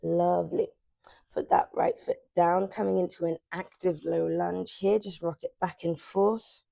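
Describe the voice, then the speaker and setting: A woman in her twenties guiding a morning stretching class, cueing softly and unhurriedly as she moves. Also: fairly low pitch, level tone, measured pace, gravelly, no echo. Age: 20s. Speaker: yoga instructor. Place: sunlit living room with large windows.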